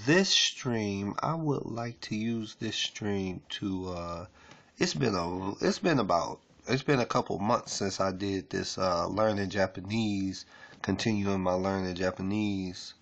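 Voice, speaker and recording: A man casually streaming as he works through a language lesson, rambling with fillers and reading aloud haltingly with restarts. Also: lowish voice, creaky, lo-fi sound. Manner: rambling, loose and unpolished, with many fillers. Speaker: self-taught learner reading a lesson. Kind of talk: casual screen-share stream.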